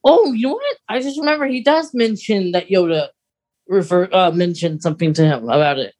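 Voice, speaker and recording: A podcast co-host with a medium-pitched voice chats in an informal way. The delivery is conversational and speculative, as if thinking aloud about lore. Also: lively intonation, brisk, darkish tone. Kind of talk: casual chat about Star Wars lore.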